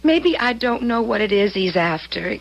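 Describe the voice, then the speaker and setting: A woman's voice: mid-range voice, sing-song, moderate pace, muffled recording. A young American woman acting in an old-time radio drama as a worried, protective daughter, earnest and pleading. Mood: anxious, pleading. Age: young adult.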